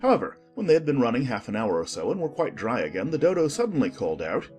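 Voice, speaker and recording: A man narrating a children's classic as an audiobook, in expressive scripted storybook style. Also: medium pitch, quick pace, faint music behind.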